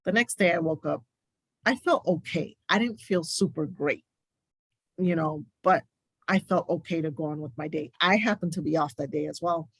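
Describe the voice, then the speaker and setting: A woman's voice: sits low, moderate pace, fairly bright tone, breathy edge, no echo. A woman nurse, a guest on a podcast, telling her story in emphatic, detailed, casual talk.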